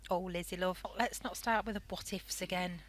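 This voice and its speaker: A woman playing a gentle, steady wartime mother who comforts her child in an amateur radio play. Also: fairly low pitch, moderate pace, lightly acted. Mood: soothing. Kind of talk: amateur radio drama acting.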